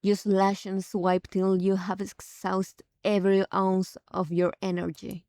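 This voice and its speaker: Unhurried, mellow woman streaming a game. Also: medium pitch, completely flat delivery, no room sound. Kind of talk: casual gameplay reactions and reading aloud.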